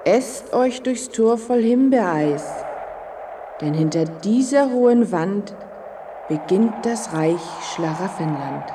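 Slow, solemn talking magic gate. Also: female voice, mid-range voice, lively intonation, background noise. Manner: measured, solemn rhyming verse. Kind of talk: German children's audio play acting.

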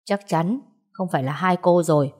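A steady, protective young husband, voiced by a female narrator in an audio story. He speaks in a fairly low, measured way, reassuring and quietly stern. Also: lightly acted.

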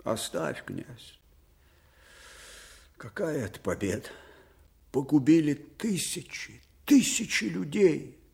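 An actor playing a weary, grieving old field marshal in a radio drama, halting and emotive. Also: male voice, on the high side, very animated, vocal fry, full character voice. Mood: grieving, bitter. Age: older. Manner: halting, emotive, swelling from hushed to fervent. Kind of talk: dramatic radio play acting.

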